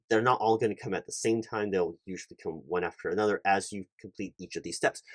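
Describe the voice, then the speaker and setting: A man's voice: on the low side, no echo, lightly acted. A man playing an officious, polite recruiting manager in a one-sided ASMR roleplay, talking in a wordy, hesitant way and repeating himself. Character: officious, rambling male corporate recruiting manager, polite and awkward.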